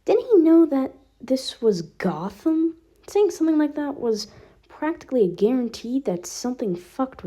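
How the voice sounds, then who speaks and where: A woman's voice: a little high, mellow, lo-fi sound. A woman reading fan fiction aloud as scripted narration. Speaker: podfic reader.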